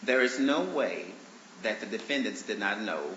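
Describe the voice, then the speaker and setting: Deep, congested man arguing in court. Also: expressive. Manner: halting, many "um" and restarts, earnest. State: congested, deeper from allergies. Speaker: plaintiff arguing his own motions. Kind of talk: courtroom argument. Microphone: courtroom microphone on a stand. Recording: roomy, dull courtroom recording with faint hiss.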